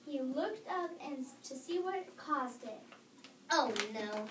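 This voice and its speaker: Child reader, high voice, halting storybook delivery, captured from across an echoey room. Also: expressive, fairly bright tone. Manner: halting read-aloud with stumbles and corrections. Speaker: reader in a readers theater performance. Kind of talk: scripted story reading aloud. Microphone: distant microphone. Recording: roomy, distant microphone, noticeable background noise.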